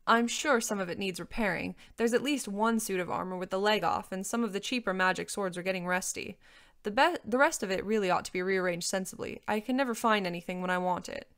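Woman playing storybook dragon, composed, dry, practical, quietly authoritative, measured, matter-of-fact. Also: mid-range voice, clear, resonant tone, natural voice. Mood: businesslike.

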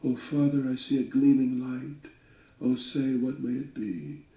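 Casting: male reader as a scared, pleading captain's little daughter in a verse tale, mellow tone raised higher. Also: fairly even intonation, a little slow, roomy sound, far from the mic, lightly acted, rough recording. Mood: anxious, pleading. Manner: pleading, questioning. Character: young frightened daughter of a sea captain.

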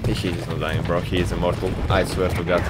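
A man hosting a gaming video with excited, exclamatory commentary, stammering and peppering his talk with slang. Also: low-pitched, fast, loud music behind the voice.

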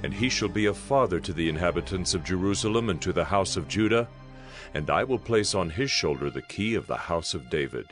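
A man's voice: low voice, lively intonation, a little fast, faint music behind. A man giving a solemn, declamatory dramatized narration of scripture. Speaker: audio Bible narrator.

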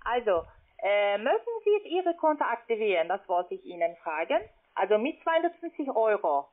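A non-native woman with a foreign accent giving a halting phone sales pitch as a call-centre agent. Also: sing-song. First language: not German. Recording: thin, band-limited phone call.

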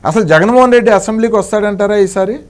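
Male interviewer, conversational political questioning, quick to interject agreement. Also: high-pitched. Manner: chimes in with short agreements.

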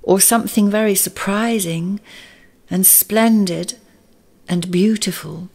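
A woman's voice: medium pitch, takes it slowly, darkish tone. A woman voicing a kindly male water rat who talks in a languid murmur. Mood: dreamy.

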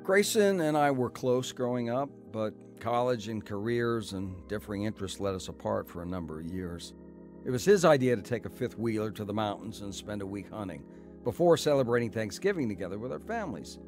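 A man's voice: on the low side, animated, fairly quick, a little mellow, faint music behind. A man playing a proud, skeptical, practical older brother in an audio drama, grumbling and sardonic. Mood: reflective.

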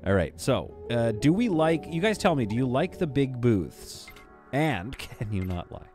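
A Canadian man in his thirties, streaming a game from a home green-screen setup, joking with chat and talking on a boom mic. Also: unhurried, over music. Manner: playful, jokey, lively, swings between loud bursts and quiet asides. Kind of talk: casual livestream chat while building in a game. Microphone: studio mic on a boom arm.

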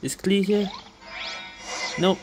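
A woman's voice: mid-range voice, fairly even intonation, slow, music in the background. A woman doing casual let's-play commentary as she plays, muttering reactions with mild swearing. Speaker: gamer playing through a game quest.

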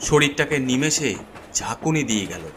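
A man's voice: a little high, animated, fairly quick, noisy background. A man narrating a horror story in the first person in a dramatic, suspenseful, theatrical way.

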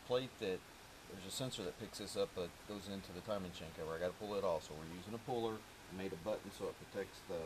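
A man's voice: low voice, quick pace. A middle-aged man giving casual, practical how-to instructions in short pieces while he works with his hands in a garage workshop, picked up by a camera mic. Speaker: home mechanic demonstrating an engine rebuild. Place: large metal-walled garage workshop indoors. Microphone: camera mic a step or two away. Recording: noisy workshop recording, clicking tool sounds.

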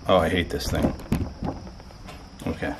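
A middle-aged man with a Southern US accent, filming himself alone at night in an abandoned wooden shed and talking to his viewers in a hushed, jittery way. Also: very deep voice, steady tone, measured pace, heavy background noise. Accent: Floridian American. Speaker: paranormal explorer vlogger. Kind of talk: solo ghost-hunting vlog.

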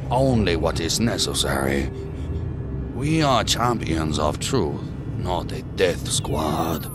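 A zealous rebel commander in an audio drama. His voice is very low and swings widely as he preaches calm, measured orders, with music clearly underneath. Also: exaggerated voice. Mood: grave, rallying. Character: solemn zealous male leader of a rebel squad.

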